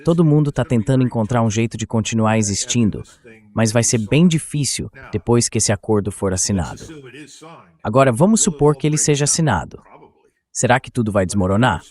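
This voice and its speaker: A man's voice: medium pitch, lively intonation, brisk, darkish tone. Synthetic male voice giving political commentary. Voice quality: synthetic AI voice-over. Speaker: interview guest, political commentator. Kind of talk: dubbed translation of interview analysis.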